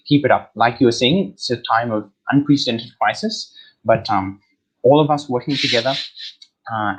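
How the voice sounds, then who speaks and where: A man's voice: lowish voice, husky, rough recording. An Australian man hosting an online talk, speaking with many fillers and restarts over a video call. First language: English. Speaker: host.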